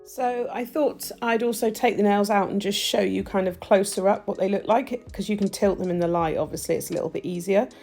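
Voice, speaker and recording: A woman with a stuffy, nasal voice, chatting warmly through a nail tutorial as she works. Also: medium pitch, fairly even intonation, fairly quick, gravelly, few pauses, faint music behind. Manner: chatty, gushing, talks while working. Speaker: nail technician demonstrating gel polish. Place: home nail studio.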